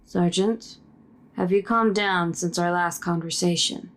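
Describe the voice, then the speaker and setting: A woman playing a composed, dutiful military officer who speaks in a calm, measured way in a sci-fi drama. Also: lowish voice, a little hiss or hum, lightly acted. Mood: calm, patient. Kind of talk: dramatic sci-fi audio acting.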